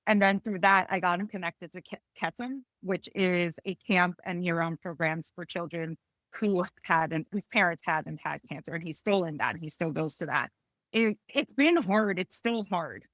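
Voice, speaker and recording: A woman in her early forties giving personal testimony on a panel, speaking candidly and haltingly, often circling back to repeat phrases. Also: on the low side, a bit bright, no room sound. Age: early 40s. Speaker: panelist, cancer patient and mother.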